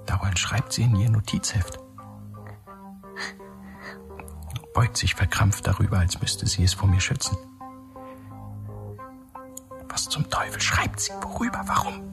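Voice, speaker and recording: A man's voice: lowish voice, steady tone, fairly quick, creaky, over music. An actor in a radio play voicing a brooding, pitying man in a muttered, repetitive inner monologue. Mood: suspicious, brooding. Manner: muttering, repetitive, close and introspective. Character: brooding, pitying man watching a woman companion.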